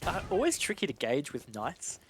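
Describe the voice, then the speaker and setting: A man's voice: a little high, huge pitch swings, normal speed, crowd noise. A man giving live analytical commentary on an esports match in a conversational, explanatory way.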